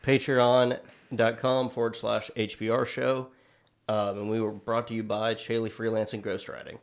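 A man's voice: lowish voice, little pitch movement, moderate pace. A man hosting a casual podcast, chatty and loose, with many ums and offhand jokes. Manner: rambling, filler-heavy, self-deprecating. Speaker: podcast host.

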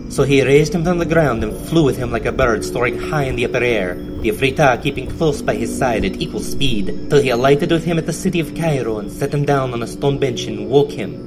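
A man narrating an audio drama in measured storytelling tones with archaic phrasing. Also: medium pitch, over music, poor audio.

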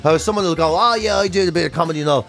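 A high-voiced Irish man chats casually and comically as a podcast co-host. He rants and swears freely, slipping into little acted-out anecdotes. Faint music sits behind him. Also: rapid-fire, vocal fry, a bit bright. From Ireland. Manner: ranting, sweary, acts out anecdotes.

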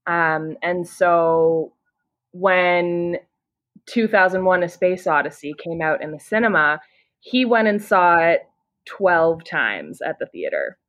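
A woman's voice: lowish voice, expressive, slow pace, fairly bright tone. A Canadian woman in her thirties, a podcast guest, who talks eagerly and casually with many "you know"s. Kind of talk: casual conversation.

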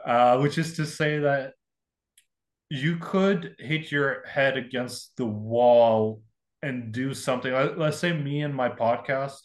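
A young man from Russia tells stories on a podcast in a loose, rambling way. He mocks himself freely and talks it all out in a candid, casual voice at an everyday pitch. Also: slow. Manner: rambling, candid, self-mocking storytelling. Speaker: painter guest.

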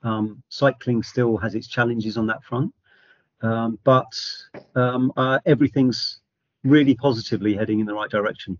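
A man speaking as the outgoing chair of a charity in an interview, measured and full of ums and restarts. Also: medium pitch, fairly even intonation, no echo, dull audio. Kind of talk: interview answer.

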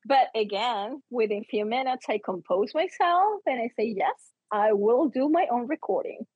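High, husky woman with an accent. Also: lively intonation, moderate pace, dry recording, dull audio. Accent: non-native English accent. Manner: emphatic, theatrical, quotes own thoughts aloud. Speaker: interview guest, book co-author, teacher and performer. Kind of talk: animated personal storytelling in an interview.

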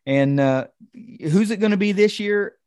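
A man's voice: high-pitched, a little slow, darkish tone, clean tone, dry recording. Man talking football in a dry studio sound. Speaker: sports podcast host. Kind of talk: casual football analysis chat.

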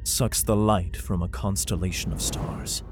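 Man acting anxious, haunted father, low brooding voice. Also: steady tone, measured pace, music in the background. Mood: haunted, ominous. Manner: intense, brooding, theatrical. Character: anxious, haunted father narrating a dystopian sci-fi nightmare. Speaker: lead actor and narrator. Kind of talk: dramatic audio drama narration and dialogue.

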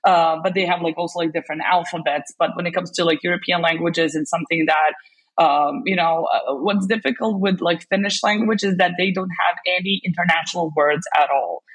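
A woman's voice: on the low side, brisk, a bit bright, breathy edge, low-quality recording. A woman, a language specialist chatting as an interview guest, talking loosely with lots of fillers and restarts. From Serbia. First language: Serbian. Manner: chatty, many fillers and restarts, laughs often. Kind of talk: casual interview chat.

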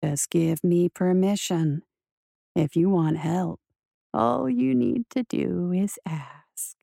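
A woman voicing a seductive, soothing demon in an audiobook, with a hushed purr and a coaxing, menacingly gentle manner. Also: on the low side, slow, no room sound, clean studio sound, pitched below the performer's own voice, full character voice. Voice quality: breathy, hushed, humming purr. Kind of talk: audiobook character voice.

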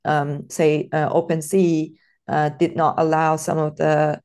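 A woman's voice: low-pitched, flat delivery, unhurried, a little mellow. A woman from Iran hosting a casual podcast interview, thoughtful and hesitant, with many fillers and restarts.

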